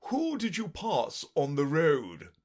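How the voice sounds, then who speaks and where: A man voicing a fussy, excitable old king from a storybook, blustering and exclaiming. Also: on the high side, slow pace, pitched above the performer's own voice, exaggerated voice. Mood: brisk, self-important.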